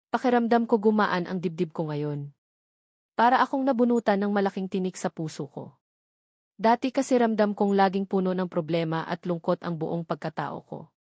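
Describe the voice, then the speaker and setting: A woman's voice: medium pitch, darkish tone, dry recording, studio quality. A woman with a Filipino accent reads a first-person romance novel as a dramatic narrator. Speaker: audiobook narrator.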